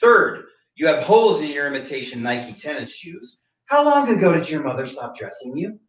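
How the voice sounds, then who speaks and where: An actor in a staged reading playing a bitter, drunken older philosophy professor, pompous and sardonic. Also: male voice, a little high, big pitch swings, normal speed. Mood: mocking. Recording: echoey room, distant microphone.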